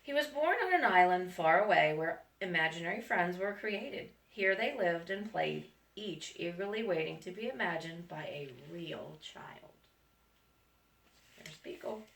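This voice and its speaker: A woman's voice: fairly low pitch, expressive, a little slow, vocal fry. Woman reading a storybook, echoey and distant. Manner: gentle, adds friendly remarks to young listeners. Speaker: school staff reading to students. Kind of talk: reading a picture book aloud with chatty asides. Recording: echoey room, far from mic, faint noise.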